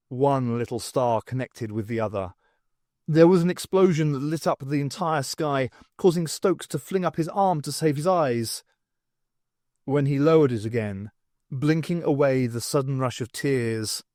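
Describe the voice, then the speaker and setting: A man narrating fiction as an audiobook reader, in an expressive scripted storytelling style. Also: medium pitch, no echo, studio quality.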